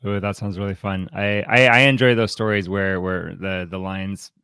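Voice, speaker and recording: A man hosting a podcast, chatting casually about books in an unscripted, halting way as he thinks aloud. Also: low-pitched, fairly even intonation, sharp tone, smooth, no room sound, clean studio sound. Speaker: podcast host. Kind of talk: casual unscripted book discussion.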